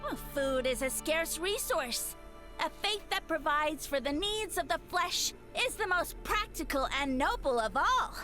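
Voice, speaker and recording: A high-voiced, self-important little mushroom savior declaims grandly, swinging widely in pitch. He is a boastful, slippery video game creature with theatrical airs, and music plays behind him. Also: lively intonation, unhurried, bright, forceful tone, exaggerated voice. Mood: grandiose. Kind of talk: video game character acting.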